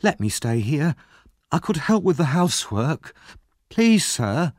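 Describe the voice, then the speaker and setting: An innocent young orphan boy from a storybook, voiced by a man. He is earnest and pleading, with a raised pitch that swings with feeling. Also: very animated, a little slow, darkish tone, no echo, clean studio sound. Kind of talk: audiobook character reading.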